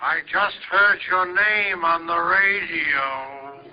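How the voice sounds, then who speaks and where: A hearty older bank boss. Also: male voice, fairly even intonation, slow pace. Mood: delighted. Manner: booming, calls everyone boy. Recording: dull lo-fi old radio recording.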